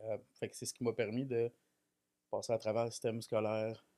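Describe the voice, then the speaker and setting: A man in his thirties with a Quebec French accent, a podcast guest telling his life story in a relaxed, self-deprecating way with many fillers. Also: fairly low pitch, brisk. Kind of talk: casual chat.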